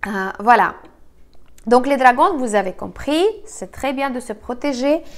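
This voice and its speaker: Woman with a cold giving halting advice. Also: high-pitched, expressive. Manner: halting, frequent fillers and self-corrections. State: slightly congested from a cold. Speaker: feng shui and BaZi astrology consultant. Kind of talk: monologue giving monthly astrology forecast.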